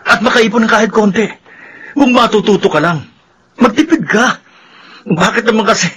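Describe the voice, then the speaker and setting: A man's voice: high-pitched, lively intonation, moderate pace, a bit bright, a little hiss or hum. An actor in a radio play voicing a patient, hardworking husband who pleads with his wife. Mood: exasperated. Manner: earnest, pleading. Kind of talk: radio drama acting.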